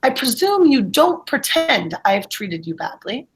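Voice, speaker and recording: A woman playing a brusque, dismissive middle-aged bachelor gentleman in an amateur play reading, speaking emphatically and patronizingly. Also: on the high side, very animated, unhurried, voice pushed up, rough recording. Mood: puzzled, probing.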